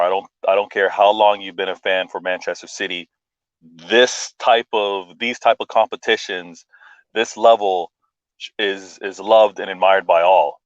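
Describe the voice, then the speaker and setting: Low-voiced man with a muffled, dull mic. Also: smooth, no room sound. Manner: enthusiastic, fills pauses with "um". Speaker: guest football commentator. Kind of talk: casual chat.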